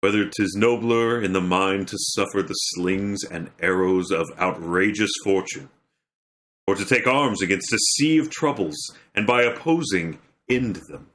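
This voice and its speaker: A deep, forceful voice from a man playing a troubled young prince. He broods through a Shakespearean soliloquy in solemn, measured phrases, weighing each line as on a theatre stage. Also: slight background noise. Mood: brooding, solemn. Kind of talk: Shakespearean dramatic recitation.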